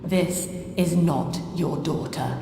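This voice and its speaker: A woman playing a cold, controlling video game villain, measured, commanding and icily polite. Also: low voice. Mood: calm, possessive. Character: cold, controlling female villain, calm and menacing. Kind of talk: video game cutscene acting. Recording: cavernous echo with long reverb, clear noise.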